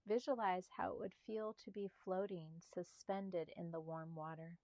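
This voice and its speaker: Female meditation narrator, slightly low register, gentle breathy softness, soothing spaced delivery, dry studio-like sound. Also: dry recording. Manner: slow, calm, soothing delivery with spaced short phrases. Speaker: meditation guide.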